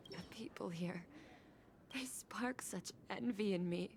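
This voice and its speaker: A woman's voice: medium pitch, slow. An actress playing a wistful female video game character in scripted dialogue. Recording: noisy game audio with ambience.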